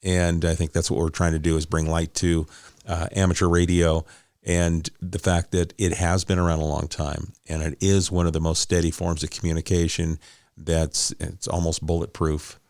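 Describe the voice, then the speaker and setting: A man's voice: sits low, level tone, normal speed, a little mellow, dry recording, clean studio sound. A man hosting a conversational interview, often rephrasing as he frames his questions and points. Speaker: interviewer, host; law enforcement officer.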